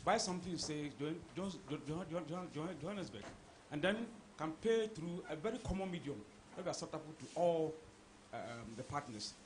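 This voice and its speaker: A man, a journalist, asking a halting question from the floor. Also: on the high side, animated, slight background noise, low-quality recording. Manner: halting, stumbles over words.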